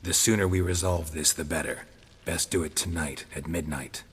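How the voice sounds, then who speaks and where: An actor playing a stoic, cynical monster hunter in a video game, speaking in a curt, cold deadpan with a gravelly, husky voice. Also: male voice, sits low, normal speed. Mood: resolute, dry. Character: stoic, cynical monster-hunting witcher, hardened man. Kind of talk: understated video game acting.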